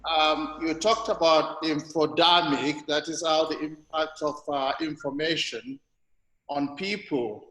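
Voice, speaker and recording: A man speaks very slowly, in measured phrases with pauses, his pitch slightly high. He sounds like a participant commenting from a heavily reverberant room. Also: steady tone, sharp tone. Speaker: webinar participant asking questions. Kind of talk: spontaneous comments and questions. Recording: echoey hall-like room over online call.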